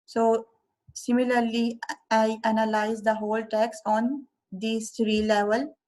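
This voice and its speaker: Young Urdu-speaking woman, fairly high voice and flat delivery; walks through a software demo step by step. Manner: slow, careful step-by-step explaining. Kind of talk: screen-recorded software tutorial.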